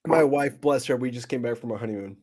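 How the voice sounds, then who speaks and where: A man's voice: medium pitch, quick pace, a little mellow. An American man hosting a podcast, telling rambling stories in casual, slangy talk. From Nebraska, United States. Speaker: podcast host.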